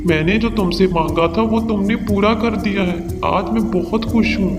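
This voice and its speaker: Guy doing bossy harsh bird dad from a cartoon, highish voice, big reverb, music under it. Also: normal speed, close to an everyday voice. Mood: elated, boastful. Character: harsh, domineering father bird who favours sons. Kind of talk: Hindi cartoon voice acting. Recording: reverberant hall sound over music.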